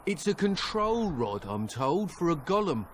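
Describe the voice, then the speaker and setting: An actor playing a nervous, chatty merchant who rambles and wheedles over game music. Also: male voice, fairly high pitch, very animated, a little slow, a little hiss or hum. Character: nervous chatty male merchant, middle-aged. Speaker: merchant character.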